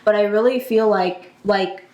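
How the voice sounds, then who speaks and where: A woman's voice: mid-range voice, normal speed, darkish tone, roomy sound, lo-fi sound. A woman co-hosting a casual wrestling podcast, talking informally as a fan among friends. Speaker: podcast co-host.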